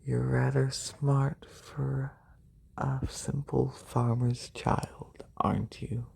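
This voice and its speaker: A performer voicing a haughty, wealthy lamia noble in a breathy, soft-spoken ASMR roleplay, condescending and unhurried, close on a binaural mic. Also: medium pitch, flat delivery, exaggerated voice. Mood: condescending approval. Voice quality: breathy, whispery.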